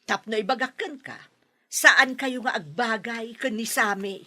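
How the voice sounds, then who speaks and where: A haughty Filipino mother in a radio drama. Her voice is very bright, hard-edged and fairly high. She scolds and mocks with scornful authority. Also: unhurried. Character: domineering, scornful mother who rejects her son's girlfriend. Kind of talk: Ilocano radio drama acting.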